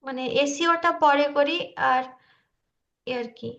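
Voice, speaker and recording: A woman informally explaining her work in a halting, loose way on an online call. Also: sits high, fairly bright tone, reverberant, highs cut off. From India. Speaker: video creator showing their work. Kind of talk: informal screen-share walkthrough.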